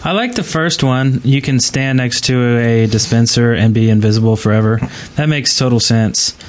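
A man's voice: medium pitch, normal speed. A man hosting a gaming podcast in a casual, conversational style. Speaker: podcast host.

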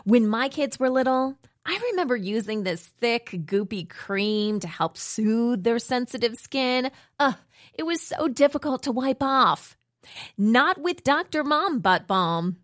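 A woman reads an advertisement with a lively, upbeat lilt. Her voice runs high and swings widely in pitch. It sounds dry and close. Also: unhurried, dry recording. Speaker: ad reader. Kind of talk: scripted advertisement.

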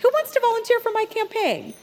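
An actress playing an eager, self-promoting town politician in a family audio drama, with a bubbly, officious manner. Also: female voice, very high voice, wildly swooping pitch, fast talker, darkish tone, full character voice. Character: Vera Purdy, eager, self-promoting female town-council candidate creature. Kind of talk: family audio fiction acting.

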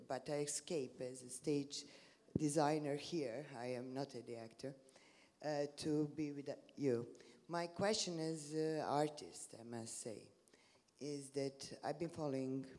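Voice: medium pitch, warm tone. An audience member with a Serbian accent, hesitantly searching for words while asking a question on a handheld mic. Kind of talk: question from the floor. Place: large theater hall. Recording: long reverb of a large hall.

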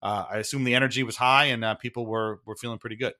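A man's voice: mid-range voice, fairly even intonation, fast, pushed and bright. A man hosting a sports podcast, casually recapping in a conversational, wry way with frequent hedging. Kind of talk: casual hockey game recap chat.

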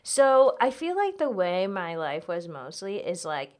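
A woman's voice: lowish voice, big pitch swings, a little slow, darkish tone. A young American woman chatting casually and telling personal anecdotes, with lots of "like" in her informal speech. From United States (South Carolina and Virginia). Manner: informal, heavy use of "like". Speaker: guest, comedian.

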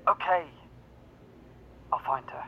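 A man's voice: takes it slowly, lightly acted. A man playing a worried, reluctant confidant in an audio drama, heard muffled and reverberant as on a distant call. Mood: worried, hushed. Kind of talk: naturalistic audio fiction acting.

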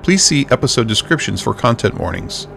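A man reading the show's sponsor and content announcements in a measured, formal way. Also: medium pitch, sing-song, vocal fry, a bit bright, faint music behind. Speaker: podcast announcer. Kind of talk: scripted announcement.

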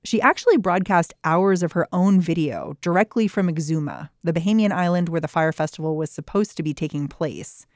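A woman hosting a news podcast, narrating a scripted segment in a brisk, clear storytelling manner. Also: lowish voice, no echo, clean studio sound. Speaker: podcast host.

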